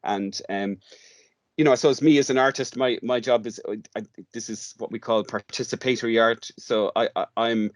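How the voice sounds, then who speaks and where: A man's voice: medium pitch, steady tone, moderate pace, dry recording, clean studio sound. An Irish man speaking as an interview guest in a conversational, hesitant way, often repeating words as he goes. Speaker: guest, radio drama workshop artist.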